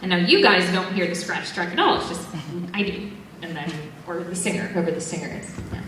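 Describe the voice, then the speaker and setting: A woman's voice: fairly low pitch, animated, a little fast, background noise. A woman voice actress explaining the recording process on a convention panel in a chatty, upbeat way. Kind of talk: casual panel explanation to a live audience. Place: large convention hall. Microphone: audience member's camera from far off. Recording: distant echoey hall audio from audience.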